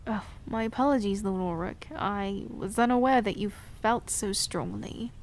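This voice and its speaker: An actress playing an ageless queen who speaks in a measured, gracious and guarded way. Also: medium pitch, a little hiss or hum, close to an everyday voice. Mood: formal. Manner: measured, formal and gracious, coolly reserved. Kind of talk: audio drama acting. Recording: music bed under the voice.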